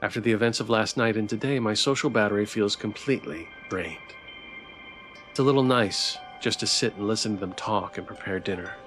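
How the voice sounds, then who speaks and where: A man's voice: lowish voice, a little fast, a bit bright. A man reading a visual novel aloud as a streamer, narrating the story of a game playthrough. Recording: background music. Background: music.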